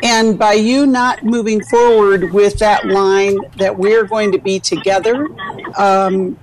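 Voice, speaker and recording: A woman's voice: mid-range voice, steady tone, takes it slowly, low-quality recording. A woman co-hosting a call-in advice radio show, talking in a reflective, counseling way. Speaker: radio co-host.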